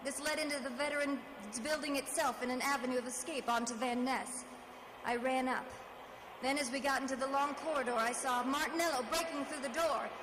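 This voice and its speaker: A woman's voice: high-pitched, fairly even intonation, a little fast, noisy background, close to an everyday voice. An actress playing a tough, wry female private detective, narrating her case in old-time radio drama style. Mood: tense, urgent. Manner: hard-boiled, wry, dramatic. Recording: radio drama with music behind.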